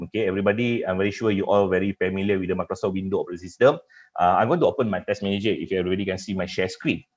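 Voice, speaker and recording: A man with a Malaysian accent, a native Malay speaker, working as a trainer and giving a halting live software demonstration in a webinar, often repeating filler phrases. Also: on the low side. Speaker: cybersecurity trainer. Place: online webinar with screen share. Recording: dull, muffled webinar audio.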